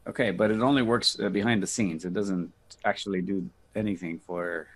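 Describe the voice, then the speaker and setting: A man with a gravelly, husky voice chatting casually, with wry questions and remarks. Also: low-pitched, steady tone, normal speed. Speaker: panel participant. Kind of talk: casual chat.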